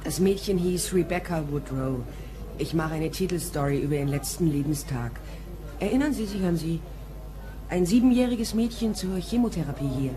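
An actress playing a wry, sharp-eyed female private detective, narrating a crime radio drama in a dry, measured way. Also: lowish voice, animated, lightly acted. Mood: businesslike.

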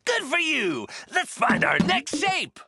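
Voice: high-pitched, wildly swooping pitch, slow pace, vocal fry, pushed and bright, no echo, full character voice. An actor playing a cheerful cartoon star who hosts a children's game show in a showy, encouraging way. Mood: upbeat, encouraging. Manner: showy, encouraging announcer delivery. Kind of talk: children's educational cartoon acting. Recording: music behind.

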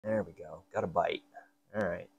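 Male hobby repair host: lowish, soft-edged mellow voice, informal, talking to himself at the workbench. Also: steady tone, normal speed, slightly rough, no echo, muffled recording. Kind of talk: casual hands-on repair narration. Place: indoor workshop at a workbench.

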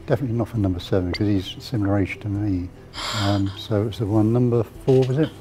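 A man's voice: on the low side, steady tone, quick pace. An older man on a game show, answering in a hesitant, rambling way.

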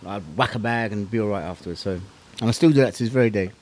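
Fast-talking southern English man, slangy and sweary. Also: fairly low pitch, no room sound. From England. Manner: animated, slangy, sweary, acting out remembered exchanges. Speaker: interview guest, former kickboxing champion. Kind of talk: casual storytelling interview.

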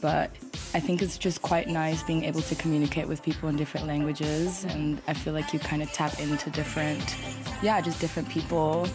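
A woman's voice: sits low, little pitch movement, a little fast, over music. A woman from Brazil, a Portuguese speaker, answering interview questions in a rambling way full of fillers. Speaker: musician guest.

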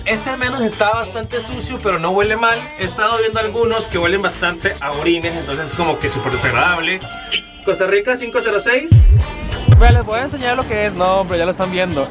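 A young man chatting to his viewers while filming himself at arm's length outdoors in a busy city and on a metro. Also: sits high. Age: young adult. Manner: chatty, addresses viewers directly. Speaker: travel vlogger. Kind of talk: casual travel vlog. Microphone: handheld camera at arm's length.

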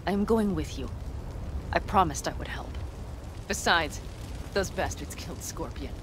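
A woman's voice: deep voice, lively intonation, measured pace, noisy background. A woman playing a fierce, loyal, stubborn young nomad weighed down by guilt in a video game drama. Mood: grim, resolute.

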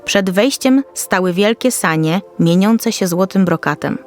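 A woman's voice: medium pitch, animated, a little slow. Woman narrating a children's story over music. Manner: storytelling, descriptive, carefully read. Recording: soft music under the voice.

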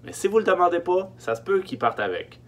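A man in his thirties with a Quebec French accent gives informal, emphatic instructions with hesitant pauses, speaking through a face mask in a small truck interior. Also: high-pitched, very animated, fairly bright tone. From Quebec, Canada. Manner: emphatic, repeats key words, hesitant pauses. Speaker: film production logistics technician giving tips. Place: small wooden-lined storage truck interior. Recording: faint hum and background noise.

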